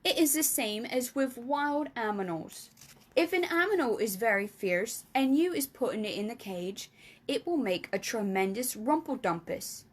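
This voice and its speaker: A woman voicing an earnest, excitable male giant in a children's storybook, with emphatic, folksy, muddled speech. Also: a little high, moderate pace. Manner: emphatic, folksy mangled grammar. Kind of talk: children's storybook character reading.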